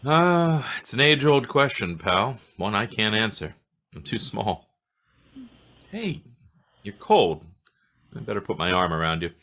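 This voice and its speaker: An actor playing a dry, teasing police inspector in a 1940s radio drama, hesitant and coaxing in delivery. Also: male voice, fairly low pitch, very animated, a little slow, long pauses, sharp tone, exaggerated voice. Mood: tender, teasing. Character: laconic, teasing male police inspector, sweet on the detective. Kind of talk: 1940s radio detective acting.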